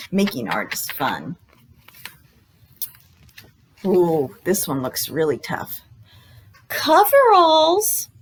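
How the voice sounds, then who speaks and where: A woman hosting a storytime for young children, reading aloud in an exaggerated, encouraging way and pausing after questions to invite guesses. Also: fairly high pitch. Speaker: children's librarian, storytime host.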